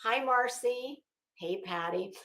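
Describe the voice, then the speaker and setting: A woman's voice: fairly high pitch, slow, fairly bright tone. A woman hosting a livestream in casual chat and commentary, with a blunt, sarcastic, salty and emphatic way of talking. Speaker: livestream host. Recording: roomy, echoing home recording.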